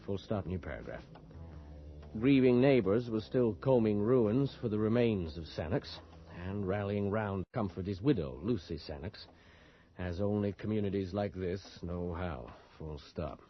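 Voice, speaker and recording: An actor playing a cynical, wry reporter with a husky voice and a sardonic manner in a radio drama. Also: male voice, fairly low pitch, a little slow. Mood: brisk, weary. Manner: sardonic, muttering to himself. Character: cynical, wry male reporter, an outsider in town. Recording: muffled early 1980s radio broadcast.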